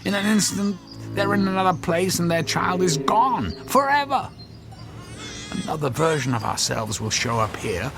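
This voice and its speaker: An actor in a radio drama playing an anxious, conscience-stricken husband, hesitant, earnest and pleading. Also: male voice, high-pitched, expressive, moderate pace, creaky, over music. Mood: anguished.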